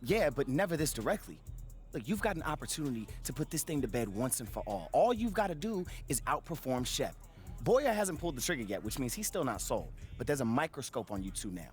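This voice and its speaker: An actor plays a male advisor urging on an athlete in a video game. He is blunt and insistent, with animated pitch. Soft music plays underneath. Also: a little fast, close to an everyday voice. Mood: urgent, persuasive. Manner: insistent, persuasive. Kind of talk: naturalistic video game cutscene acting.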